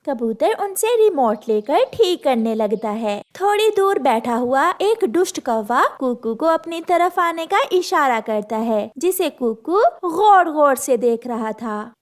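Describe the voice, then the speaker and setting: A woman reading scripted narration for a children's cartoon story. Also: sits high.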